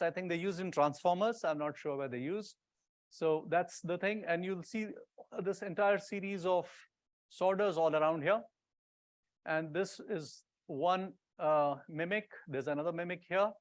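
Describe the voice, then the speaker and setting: A man gives an informal technical presentation. He explains things in a hands-on way, often pausing to check that it makes sense. The sound is dry, with very little room in it. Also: sits high, no echo. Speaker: conference presenter, radio builder.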